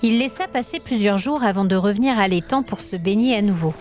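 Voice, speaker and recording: Female storyteller, mid-range pitch, scripted dramatic narration, fantasy tale, faint music bed. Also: a little fast, a little mellow.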